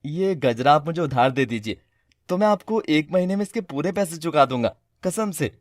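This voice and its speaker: A man's voice: on the high side, animated, normal speed, no room sound, natural voice. A man voicing an earnest, eager, lovestruck young mechanic in a cartoon story. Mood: embarrassed pleading. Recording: dry studio voice with music.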